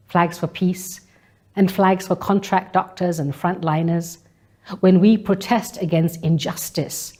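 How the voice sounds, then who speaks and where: Mellow, low-voiced woman. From Malaysia. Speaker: speaker delivering a personal monologue. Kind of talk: scripted spoken-word monologue.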